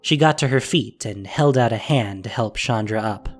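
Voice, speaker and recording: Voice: mid-range voice, moderate pace, faint music behind. A narrator reading a scripted fantasy story in a dramatic audio drama style.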